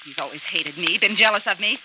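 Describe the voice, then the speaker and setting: An actress in a 1940s radio drama playing a brash, hot-tempered, scheming young wife with a scornful, theatrical delivery. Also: female voice, lowish voice, fairly quick, natural voice. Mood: indignant scornful. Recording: noisy, crackly 1940s radio recording.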